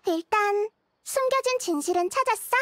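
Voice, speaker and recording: A woman playing a young, girlish goddess in a video game, gentle and wise, questioning like a playful teacher. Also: very high voice, lively intonation, moderate pace, no room sound. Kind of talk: video game character dialogue. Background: game music.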